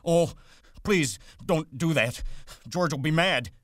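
A man's voice: deep voice, a little mellow, no room sound, clean studio sound, pitched above the performer's own voice. A man voicing a childlike, simple big man from a storybook, eager and easily panicked, with ungrammatical rustic speech. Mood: panicked pleading. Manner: ungrammatical rustic speech, slow-witted and repetitive.

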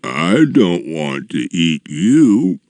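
Casting: a grimy, lonesome storybook giant, menacing yet sorrowful, ponderous and slow, recorded dry. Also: male voice, mid-range voice, expressive, creaky, no echo, pitched above the performer's own voice. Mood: sad, quiet. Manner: slow, drawn-out, ponderous delivery. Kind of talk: storybook character voice.

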